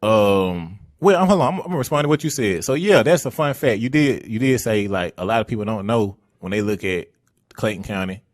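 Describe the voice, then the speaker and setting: A man's voice: lowish voice, animated, fairly quick, dry recording, clean studio sound. A man in his thirties with an Atlanta accent, hosting a podcast in loose, slangy, rambling chat. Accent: Atlanta Southern. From Atlanta area, USA. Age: 30s. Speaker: podcast host. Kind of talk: casual chat.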